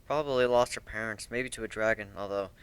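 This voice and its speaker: A man giving an expressive dramatic reading of a first-person fantasy story as its narrator. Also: lowish voice, a little fast, smooth, rough recording.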